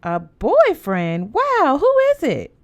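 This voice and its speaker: A woman voicing a curious, eager young girl with a teasing, expressive manner in an audiobook. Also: sits high, takes it slowly, pitched above the performer's own voice, full character voice. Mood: excited curiosity. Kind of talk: acted audiobook dialogue.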